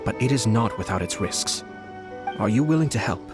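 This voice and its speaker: An actor playing a composed, scheming young noble strategist in a game, speaking in a measured, persuasive way. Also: male voice, on the low side, expressive, music in the background, lightly acted. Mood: calm scheming. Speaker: game character. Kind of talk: game voice acting.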